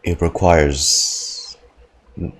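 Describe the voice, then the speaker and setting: A man's voice: low-pitched, level tone, very slow, noisy background. A man giving casual reaction commentary as a game streamer.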